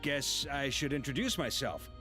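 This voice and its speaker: An actor playing an affable, bluff, slightly awkward middle-aged knight commander in a video game, informal and halting in manner. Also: male voice, medium pitch, animated, natural voice. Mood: awkward, friendly. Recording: music under the voice. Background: background music.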